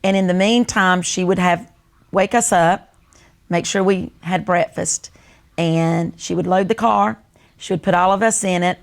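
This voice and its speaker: A woman's voice: on the low side, steady tone, measured pace, vocal fry. A woman in her sixties with a North Carolina Southern accent, telling stories and reminiscing in casual conversation. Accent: North Carolina Southern American. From North Carolina, United States. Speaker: co-host.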